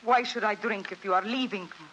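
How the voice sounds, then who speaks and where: A woman's voice: mid-range voice, fairly quick, a bit bright, natural voice. An actress playing a passionate, jealous young singer woman in a 1940s radio melodrama, intense and possessive. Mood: sullen. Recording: faintly noisy vintage radio recording.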